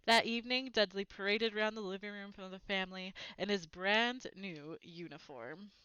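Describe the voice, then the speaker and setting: A woman's voice: mid-range voice, moderate pace, pushed and bright, smooth, no echo, highs cut off. A woman reading a storybook aloud as narrator, expressively.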